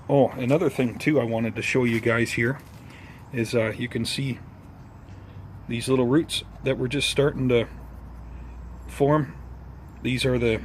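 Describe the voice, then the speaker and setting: Casual man vlogging outdoors with background noise. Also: medium pitch. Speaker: host of a bonsai gardening vlog. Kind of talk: casual vlog chat to viewers. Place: outdoors in a garden or yard.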